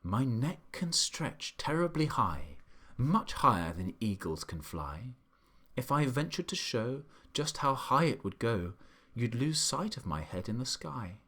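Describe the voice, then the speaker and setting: A man voicing a gentle female giraffe who speaks in a soft hush. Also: mid-range voice, big pitch swings, measured pace, slightly rough, full character voice. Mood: singing softly. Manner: hushed, soft.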